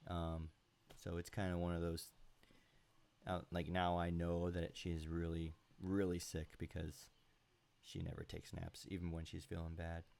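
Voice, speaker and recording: Deep gravelly congested man. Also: monotone, unhurried, a little mellow. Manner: rambling, many fillers and chuckles. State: sounds congested. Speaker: live stream host. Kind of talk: casual live-stream chat. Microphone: home computer mic with high gain.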